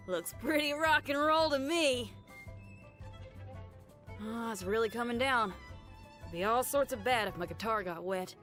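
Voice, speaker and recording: A woman's voice: high-pitched, pushed and bright, full character voice. A woman playing a brash, upbeat, free-spirited young female rock musician in a video game, with a folksy, slangy and enthusiastic way of talking. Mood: upbeat, inviting, then concerned, spirited. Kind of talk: video game character voice lines.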